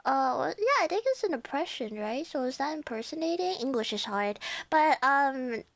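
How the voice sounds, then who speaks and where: A woman's voice: sits high, sing-song, moderate pace, vocal fry, no echo. A young woman with a Cantonese accent chatting casually with her viewers as a livestreamer, chatty and giggling often.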